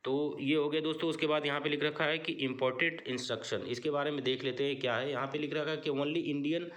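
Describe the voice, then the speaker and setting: A man with an Indian accent explains written notices informally as an online guide, with repeated check-ins and halting reading of English. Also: fairly high pitch, steady tone, a bit bright. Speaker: online job-form guide host.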